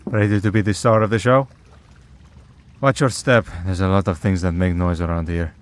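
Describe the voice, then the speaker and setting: A man's voice: sits low, fairly even intonation, moderate pace, a little hiss or hum, lightly acted. A man playing a centuries-old vampire priest in an audio roleplay, dry, sardonic and protective. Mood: exasperated, dry.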